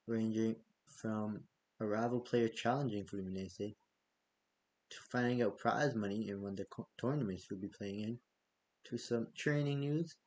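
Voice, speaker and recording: Mellow-voiced man hosting a casual vlog. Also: medium pitch, slight background noise, low-quality recording. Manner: chatty, loose, with frequent fillers and self-corrections. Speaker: football fan channel host.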